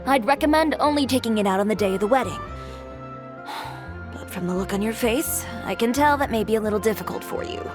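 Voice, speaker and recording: A woman's voice: a little high, a little fast, close to an everyday voice. An actress playing a confident, blunt young female boutique owner in a video game, with a cool, curt, self-assured manner. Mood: firm, assured. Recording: music behind.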